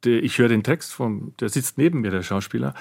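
A man's voice: medium pitch, fairly quick, creaky, a little mellow, no room sound. A man working as a sound effects artist explains his craft in conversation, searching for his words in a studio. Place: radio drama studio.

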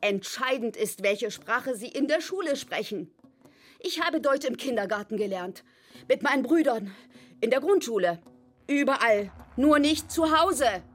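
A woman's voice: on the high side, animated, measured pace. A woman acting a self-assured, indignant Turkish-German schoolteacher in a radio drama monologue. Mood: indignant, proud.

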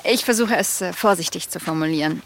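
A woman's voice: lowish voice, fairly quick, a little hiss or hum, natural voice. A woman acting a cynical young woman in an audio drama, speaking hesitantly and often repeating her words. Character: young woman, cynical, searching, self-doubting.

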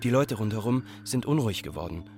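An actor playing an Austrian farmer's son, telling a childhood memory in plain, measured radio drama narration. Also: male voice, on the low side, animated, vocal fry, over music. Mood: reflective.

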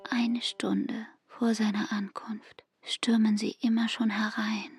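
An actress playing a bitter, confiding barmaid in a literary radio drama, in a breathy, intense whisper. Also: female voice, on the high side, level tone, dry recording, heavily acted. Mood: hushed disgust. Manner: hushed, intense, slow.

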